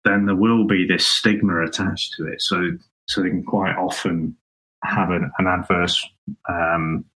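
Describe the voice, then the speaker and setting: A man's voice: sits low, steady tone, unhurried, sharp tone, husky, rough recording. A man co-hosting a casual film review podcast, talking in a rambling way with frequent restarts and chuckles. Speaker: podcast co-host.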